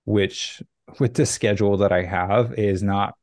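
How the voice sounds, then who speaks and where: A man's voice: lowish voice, fairly even intonation, measured pace, a little mellow, no room sound. A young adult man talking casually and candidly as a fitness coach in an interview, close on a desk microphone. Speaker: fitness coach, podcast guest. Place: indoor home office, video call.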